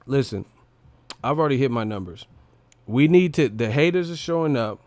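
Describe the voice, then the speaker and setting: A man's voice: mid-range voice, expressive, normal speed, darkish tone, dry recording. Male livestream boxing commentator, mid-range voice, unscripted, blunt, slangy and profane, recorded dry. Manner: blunt, opinionated, slangy, swearing. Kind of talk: casual unscripted livestream fight breakdown.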